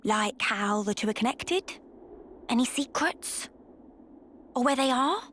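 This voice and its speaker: A woman's voice: a little high, expressive, measured pace, fairly bright tone, slight background noise. An actress playing a cheeky, brash young woman in a video game, blunt and teasing. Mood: nosy, conspiratorial. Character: cheeky, brash, nosy young female party member. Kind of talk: video game cutscene acting.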